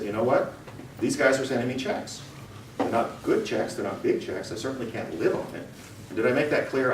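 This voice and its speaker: A middle-aged man giving an informal, conversational and emphatic talk to students. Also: on the low side. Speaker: guest speaker, radio theater company owner. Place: room, talk to an audience of students. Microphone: distant from the speaker. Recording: roomy, distant mic with background noise.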